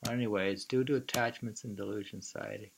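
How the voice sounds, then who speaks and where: A man informally reading poetry aloud and adding his own halting, musing commentary, with thoughtful hums between phrases. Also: on the low side, steady tone, gravelly, slight background noise. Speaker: reader and commentator.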